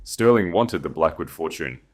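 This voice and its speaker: Deep-voiced man narrating a dramatic story. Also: expressive, a little mellow. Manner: dramatic, measured delivery.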